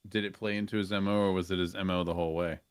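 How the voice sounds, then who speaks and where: A man's voice: sits low, little pitch movement, rapid-fire, clean tone, no echo. A man talking casually as a guest on a gaming podcast. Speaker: podcast guest and roleplay streamer.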